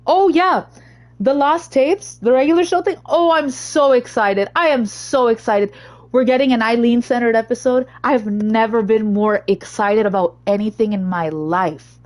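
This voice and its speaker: Low, slightly hoarse young woman. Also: normal speed, a little mellow. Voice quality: naturally low, deep voice. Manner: rambling, chatty, easily sidetracked. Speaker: streamer. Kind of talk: casual gaming stream chat.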